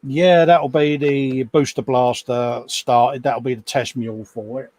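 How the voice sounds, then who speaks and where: A man's voice: mid-range voice, steady tone, measured pace, darkish tone, no echo. A man co-hosting a live hobby stream, chatting casually about his models and reading out viewer comments. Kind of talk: casual chat.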